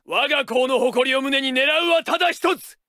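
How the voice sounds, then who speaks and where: Fiery high-pitched baseball player. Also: male voice, normal speed, no echo, clean studio sound. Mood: rousing, fired up. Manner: forceful, shouting. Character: fierce, hot-blooded young male baseball player. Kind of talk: anime-style game voice lines. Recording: loud game music and crowd behind.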